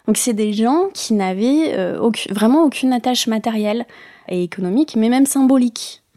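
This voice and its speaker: A woman's voice: a little high, expressive, normal speed, warm tone, no room sound. Reflective middle-aged woman, dry close mic. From France, born in Bayonne. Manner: reflective, many hesitations. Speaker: guest. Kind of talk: casual interview talk.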